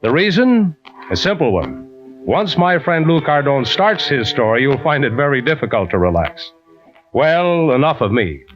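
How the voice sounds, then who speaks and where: An actor playing a genial adventurer who introduces tales deliberately and folksily in 1940s radio style. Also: male voice, medium pitch, big pitch swings, natural voice. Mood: inviting. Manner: deliberate, folksy, addresses listeners as friends. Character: genial adventurer storyteller introducing a weekly tale. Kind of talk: 1940s radio acting, hosting narration. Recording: dull old radio recording with music.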